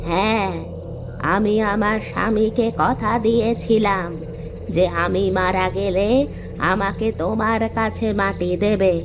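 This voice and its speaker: A woman's voice: medium pitch, fairly even intonation, slow pace, background noise, natural voice. A woman voicing the ghost of an old village mother in a cartoon, scolding and mournful, in a dull recording. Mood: aggrieved.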